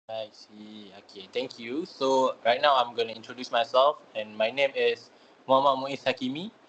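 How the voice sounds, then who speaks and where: A man's voice: medium pitch, fairly even intonation, moderate pace, a bit bright, lo-fi sound, slight background noise. A young man from Malaysia, a student asking interview questions hesitantly, with many fillers. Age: young adult.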